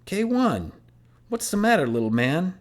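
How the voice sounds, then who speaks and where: A man voicing a loud, brash older male sports coach with a braying East Coast American accent. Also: high voice, sing-song, unhurried, pitched above the performer's own voice. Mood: boisterous.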